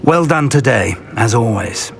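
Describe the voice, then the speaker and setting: Lively, encouraging wizard mentor. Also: male voice, medium pitch, unhurried, vocal fry, a little hiss or hum. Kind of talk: scripted fantasy game dialogue.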